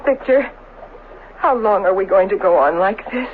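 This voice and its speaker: A woman acting a worried, weary doctor's wife in an old-fashioned radio drama, anxious, halting and pleading. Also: medium pitch, close to an everyday voice. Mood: weary reproach. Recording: tinny, band-limited, noisy radio broadcast recording.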